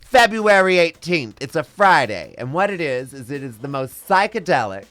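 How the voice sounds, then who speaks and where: A man's voice: a little high, very animated, moderate pace, fairly bright tone, no room sound. A man who performs as a drag queen, telling stories in a casual interview with a strong, theatrical, flamboyant voice. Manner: flamboyant, theatrical storyteller with big swoops.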